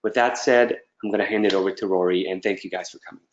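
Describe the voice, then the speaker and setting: A man hosting an online meetup, introducing the guest with many pauses and fillers. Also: deep voice, fairly even intonation, a little hiss or hum. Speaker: meetup host. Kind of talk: event introduction. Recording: echoey, dull lo-fi.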